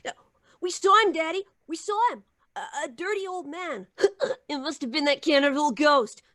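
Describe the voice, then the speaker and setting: An actress playing an eager, excitable child in an old-time radio comedy. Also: female voice, high voice, moderate pace, no echo, clean studio sound. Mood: excited.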